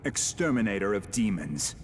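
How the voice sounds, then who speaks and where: Cold demon exterminator, deep, menacing, expressive pitch movement, video game cutscene. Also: male voice, moderate pace. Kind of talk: dramatic video game voice acting.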